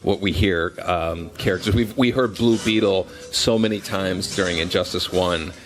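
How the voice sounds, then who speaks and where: Deep-voiced man, halting interview answers. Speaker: game developer being interviewed. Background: music.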